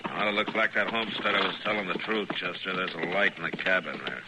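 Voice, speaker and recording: Weary frontier lawman, played by a male actor: low, measured, plain-spoken, old radio drama with clear noise. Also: lightly acted. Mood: grave, weary. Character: weary, level-headed male frontier marshal. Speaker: lead actor. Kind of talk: old-time radio western acting. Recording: noisy old-time radio recording.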